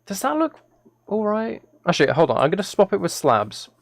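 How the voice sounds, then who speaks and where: Guy streaming a game, bit of vocal fry, chatting casually and second guessing himself out loud. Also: high voice, wildly swooping pitch, a little fast, no echo. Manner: thinking aloud, self-correcting. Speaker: live streamer. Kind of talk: casual gaming livestream commentary, chatting with viewers.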